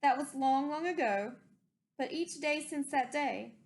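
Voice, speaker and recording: Woman, high-pitched, expressive storybook read-aloud, deliberate dramatic emphasis, rhyming narration. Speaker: teacher reading aloud.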